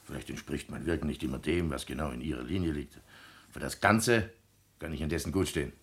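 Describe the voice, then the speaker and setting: An actor playing a stiff, self-assured son-in-law and business manager in a radio play, formal and self-important. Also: male voice, a bass voice, brisk, breathy edge, exaggerated voice. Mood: firm, self-important. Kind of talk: German radio play acting.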